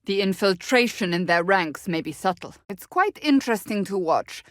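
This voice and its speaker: A woman's voice: medium pitch, expressive, normal speed, no echo, clean studio sound, lightly acted. An actress voicing a stern, proud, devout warrior woman in a fantasy video game, with formal, clipped, earnest delivery. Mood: earnest, measured. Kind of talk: dramatic fantasy game dialogue.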